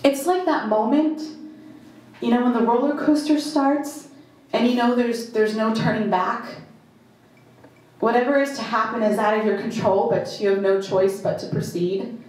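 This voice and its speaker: A woman performing a first-person dramatic monologue at a live reading, in short, deliberate, halting phrases with emphatic repetition. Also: a little high, a little mellow. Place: indoor live performance in a club. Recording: very echoey live venue.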